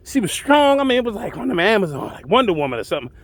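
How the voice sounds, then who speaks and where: A man's voice: sits high, very animated, rapid-fire. A man telling a first-person tall tale in a colloquial, exclamatory, dramatic way. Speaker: storyteller.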